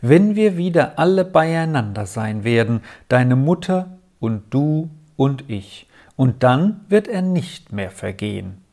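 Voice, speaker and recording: A storybook narrator voicing a gentle, ailing father, an army major who speaks calmly and soothingly. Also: medium pitch, slow. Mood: tender. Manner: calm, soothing, resigned.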